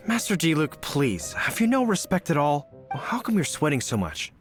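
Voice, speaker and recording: A man's voice: a little high, a bit bright, exaggerated voice. A man playing a fussy, deferential knight in a video game, with a hesitant and formal way of speaking. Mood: suspicious. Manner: hesitant, formal, long-winded. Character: fussy, suspicious, deferential male knight on duty.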